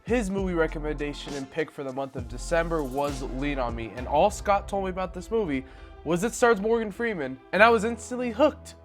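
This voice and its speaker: A young man hosting a movie reaction, chatting excitedly. He has a cold, so his voice sounds slightly raspy and nasal. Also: a little high. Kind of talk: casual commentary.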